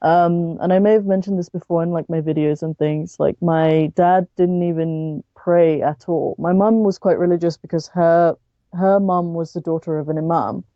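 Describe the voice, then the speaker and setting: A woman from the UK with a British accent, talking casually and haltingly as a podcast guest, peppering her sentences with "like". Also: fairly low pitch, steady tone, no room sound, highs cut off. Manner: halting, frequent "like". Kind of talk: casual interview storytelling.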